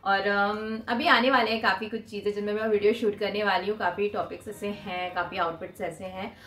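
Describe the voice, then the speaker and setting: A woman's voice: medium pitch, steady tone, a little fast, fairly bright tone, roomy sound, a little hiss or hum. A woman in her twenties talking casually to camera in a shopping haul vlog, holding up items as she speaks. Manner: chatty, showing items to camera with her hands. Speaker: vlogger. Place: small room indoors.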